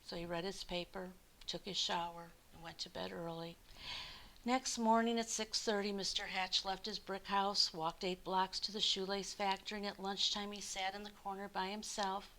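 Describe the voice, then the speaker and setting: A woman's voice: mid-range voice, normal speed, rough recording. An older woman, in her sixties or beyond, speaking expressively and kindly to young children as a storyteller. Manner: animated, addressing young listeners kindly. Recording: hissy background noise.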